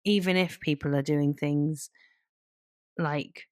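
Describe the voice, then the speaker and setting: A woman with a low voice chats casually as a podcast co-host. She is supportive and halting, reworking her sentences as she talks. The recording is dry and free of room sound. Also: fairly even intonation, a little mellow, no echo.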